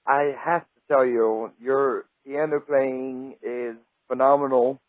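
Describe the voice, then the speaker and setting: A man's voice: mid-range voice, fairly even intonation, takes it slowly, no room sound. A male radio host chatting casually with a guest, friendly and full of praise. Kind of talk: casual interview chat. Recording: tinny phone-like line, dry.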